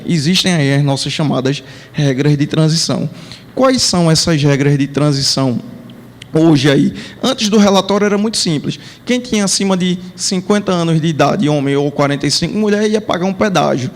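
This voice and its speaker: Brazilian male panelist, high-pitched explainer, hall reverb. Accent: Brazilian Portuguese. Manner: explanatory, works through numeric examples. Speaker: panel speaker on pension law. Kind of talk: lecture at a panel.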